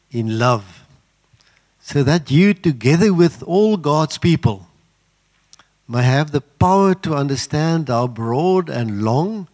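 An older man, an Afrikaans speaker, preaching a sermon in an earnest, halting way with many fillers. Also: mid-range voice, a little mellow. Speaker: guest preacher.

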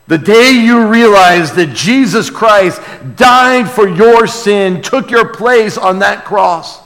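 A man preaching and praying to a congregation, earnest in manner, swelling from hushed to emphatic. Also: high-pitched, slow pace, faint music behind. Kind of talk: sermon and prayer to a congregation. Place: large church room or hall. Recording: reverberant hall sound.

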